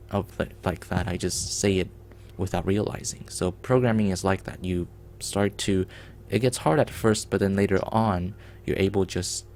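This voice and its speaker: A man's voice: deep voice, creaky, a little mellow, slight background noise. A man hosting a programming livestream, explaining in a rambling casual way with many ums and restarts.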